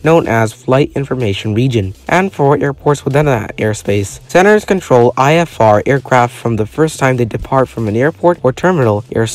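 A man's voice: mid-range voice, a little mellow. A man reading scripted narration for a documentary-style explainer. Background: background music.

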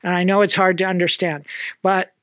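A man's voice: high-pitched, flat delivery, a little fast, a bit bright, dry recording. A middle-aged American man preaching to Bible school students, checking in with "amen?" and "okay?" after nearly every point. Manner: punctuates phrases with "amen?" and "okay?". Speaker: pastor. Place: small indoor room with mantel decor.

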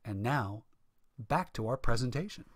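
A man's voice: mid-range voice, expressive, moderate pace, no room sound. Male radio show announcer, dry studio sound. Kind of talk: scripted announcer narration.

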